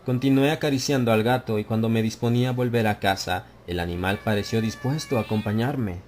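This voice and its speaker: A man reading a horror story aloud as an audiobook narrator, in a slow, brooding, confessional delivery that swells into anguished outcries. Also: on the low side, a little hiss or hum.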